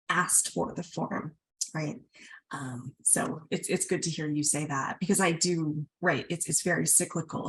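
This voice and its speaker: A woman with a slightly low voice moderates a relaxed literary event. She is informal and encouraging in how she talks. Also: poor audio. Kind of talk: casual literary event hosting.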